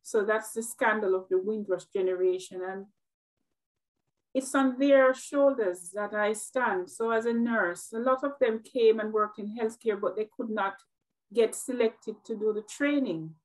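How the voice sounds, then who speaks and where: A woman's voice: fairly high pitch, a little slow, poor audio. A middle-aged woman from Jamaica with a Jamaican accent, speaking earnestly and reflectively as an interview guest, often repeating words as she thinks. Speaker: guest, nurse. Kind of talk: interview conversation.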